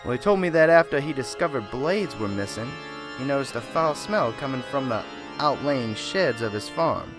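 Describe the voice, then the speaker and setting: A man doing a soft-spoken, pious small-town reverend in a radio drama. He speaks at an even middle pitch, gently and at length, wandering off on tangents. Quiet music plays underneath. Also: normal speed, close to an everyday voice. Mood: solemn.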